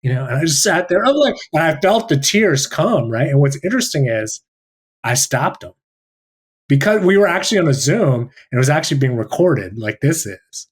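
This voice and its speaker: Reflective man speaking haltingly. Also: a little high, lively intonation, breathy edge. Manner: thoughtful, halting, many "like"s and restarts. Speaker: teacher. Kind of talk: reflective personal sharing.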